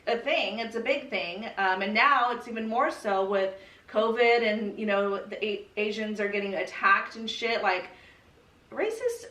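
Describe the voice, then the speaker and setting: A woman's voice: mid-range voice, lively intonation, measured pace, bright, forceful tone. A young American woman from California talking candidly and casually, peppering her speech with swearing, "like" and "you know". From California, United States. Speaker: podcast guest sharing her personal story. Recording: roomy echo, distant from the mic.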